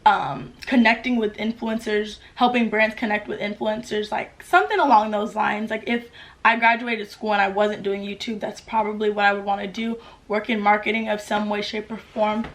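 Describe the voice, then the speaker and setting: A woman's voice: medium pitch, level tone, normal speed. Chatty teenage girl vlogging. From United States. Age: late teens. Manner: chatty, upbeat, lots of "like" fillers. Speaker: YouTuber. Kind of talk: casual Q&A vlog to camera. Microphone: camera mic with ring light.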